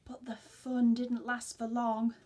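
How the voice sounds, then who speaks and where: A woman reading a storybook to young children as a teacher would, expressively, with hushed, chatty asides to her listeners. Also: fairly high pitch.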